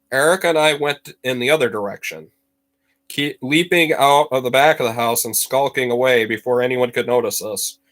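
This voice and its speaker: A man reading a story aloud to students as a teacher, with expressive, dramatic phrasing and deliberate emphasis. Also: mid-range voice.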